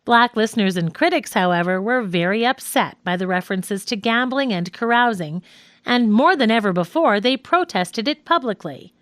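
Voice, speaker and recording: A woman reading a scripted nonfiction audiobook as its narrator, in an articulate, measured manner. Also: medium pitch, expressive, fairly bright tone, no room sound, studio quality.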